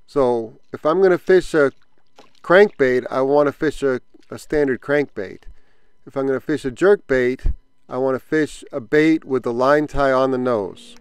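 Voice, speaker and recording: A man hosting his own fishing vlog, talking casually in a relaxed way with fillers while he casts and reels from a small boat on a pond, recorded on an action camera. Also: mid-range voice, unhurried, clean tone, dry recording. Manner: relaxed explanatory, thinking aloud with fillers. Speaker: fishing channel host.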